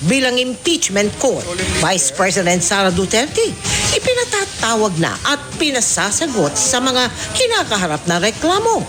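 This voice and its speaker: A woman with a Filipino accent reading scripted news headlines in an emphatic, punchy way. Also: on the high side. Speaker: newscast headline reader. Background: news theme music.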